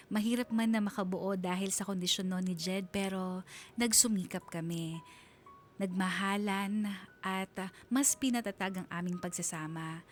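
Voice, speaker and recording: An actress plays a grateful, sentimental Filipino wife and mother narrating a radio drama in the first person. Her medium-pitched voice is heartfelt and reflective. A faint noisy rumble runs under the recording. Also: natural voice. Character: sentimental, grateful married mother telling her love story.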